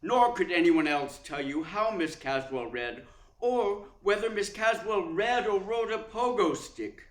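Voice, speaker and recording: An actor playing a suave, pompous theatre critic in a radio play, articulate and slyly needling. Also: male voice, high voice, expressive, unhurried. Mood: grandiloquent. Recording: roomy, echoing sound.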